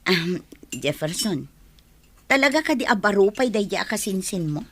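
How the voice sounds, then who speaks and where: A woman's voice: mid-range voice, lively intonation, moderate pace, a bit bright, husky, dry recording. A woman acting a village woman in a radio drama, speaking with an Ilocano accent. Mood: doubtful. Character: village woman named Marina, wary of a suitor's drinking.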